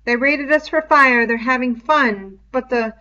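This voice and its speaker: Storybook schoolboy leader played by a woman: earnest, halting, insistent, high and forceful. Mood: urgent, insistent. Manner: emphatic, halting, repeating himself. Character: earnest young boy leader struggling to persuade. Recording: dull, muffled lo-fi home recording.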